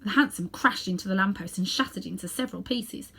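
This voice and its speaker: Husky woman reading a children's story. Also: medium pitch, fast talker, sharp tone. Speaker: storybook reader. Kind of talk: expressive children's story narration, read aloud.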